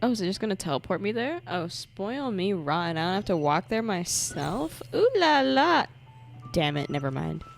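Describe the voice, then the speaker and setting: A woman's voice: medium pitch, sing-song, normal speed, creaky. A woman giving casual, playful commentary on a game livestream, joking and giggly. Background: game music.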